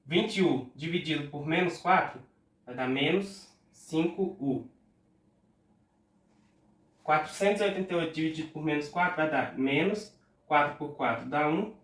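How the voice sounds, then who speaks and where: A Brazilian man with a nasal, stuffed-up voice from a cold, pitched a touch high, talking through exam arithmetic one step at a time. He speaks patiently, like a tutor, in a room with noticeable echo. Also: a bit bright, far from the mic. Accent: Brazilian Portuguese. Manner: patient, talks through arithmetic aloud slowly. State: congested from a cold. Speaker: math tutor. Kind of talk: step-by-step exam problem walkthrough.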